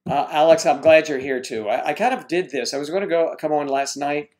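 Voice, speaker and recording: A man's voice: a little high, brisk, a little mellow. A man hosting a casual livestream from home, rambling as he greets chat viewers and stumbles over their names.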